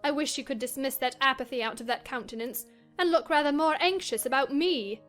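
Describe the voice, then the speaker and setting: A woman playing a passionate, wilful young married gentlewoman in a dramatized novel reading, theatrical and distressed. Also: high voice, fairly quick, soft background music. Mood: agitated, self-pitying.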